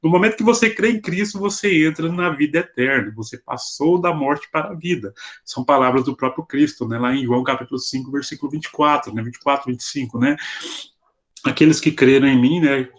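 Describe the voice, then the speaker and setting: A man's voice: medium pitch, a little fast. A man with a Brazilian accent leading an informal expository Bible study over a video call, explaining in a didactic, conversational way with frequent tag questions.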